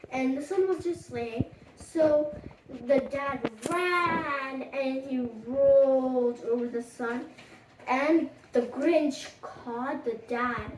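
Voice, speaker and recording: A storyteller improvising a bedtime story in a halting, childlike way, repeating words along the way. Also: high voice. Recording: echoey room, distant mic, faint noise, clicks.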